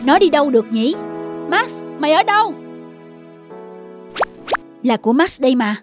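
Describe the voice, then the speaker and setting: Young cartoon boy, a dog owner, played by a woman: high voice, plainspoken, music underneath. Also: big pitch swings, normal speed. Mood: worried. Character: young boy who owns pet dogs. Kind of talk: dubbed cartoon acting.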